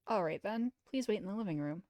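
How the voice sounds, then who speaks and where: A woman's voice: medium pitch, lively intonation, quick pace, dark tone, no echo, close to an everyday voice. A woman voicing a shy, awkward boy who is hesitant and earnest, in a visual novel. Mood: relieved, eager. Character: awkward high school boy, shy anime fan, apologetic. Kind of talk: visual novel dialogue read aloud.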